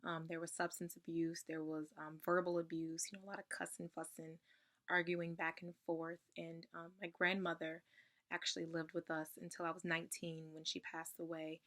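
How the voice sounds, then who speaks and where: Low, flat-voiced woman, slightly raspy. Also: moderate pace. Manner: soft-spoken, frequent ums. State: getting over a cold. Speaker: ministry member sharing testimony. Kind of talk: personal testimony.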